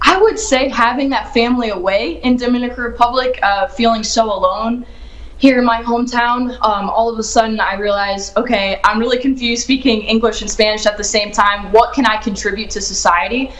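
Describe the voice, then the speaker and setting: A woman's voice: a little high, fairly even intonation, a little fast, a bit bright. A young woman who grew up in Ohio, an author answering interview questions in an earnest, upbeat way, thinking aloud as she goes. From United States, grew up in Ohio. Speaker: children's book author, guest. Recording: echoey room.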